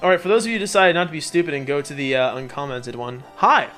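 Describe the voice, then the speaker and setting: A man giving casual video game commentary as the host, playful and often pausing to find his words. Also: on the high side, a bit bright, faint music behind. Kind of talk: casual Let's Play commentary.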